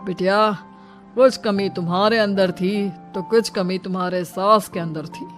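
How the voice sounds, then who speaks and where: A woman voicing a wise, calm holy man giving gentle, measured counsel in a moral story. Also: medium pitch, faint music behind, voice pushed down, close to an everyday voice. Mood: calm advising.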